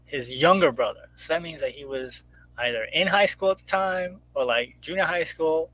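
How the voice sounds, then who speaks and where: A man's voice: fairly high pitch, moderate pace, sharp tone, low-quality recording. A man chatting casually as a guest about his own creative project, rambling informally and acting out little quotes as he goes. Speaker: guest, comic book creator promoting crowdfunding. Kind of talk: casual chat.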